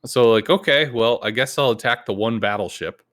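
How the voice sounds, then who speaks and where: A man hosting a casual gaming podcast, laid-back and profane, who jumps into high yelled voices when quoting other players. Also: a little fast. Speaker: podcast host.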